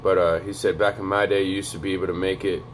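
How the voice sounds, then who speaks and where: Low quiet monotone young man. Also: quick pace, a little hiss or hum. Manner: low, quiet monotone to avoid waking a neighbor; casual, profane, streetwise. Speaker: vlogger. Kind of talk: motivational vlog talk. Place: home in North Dakota.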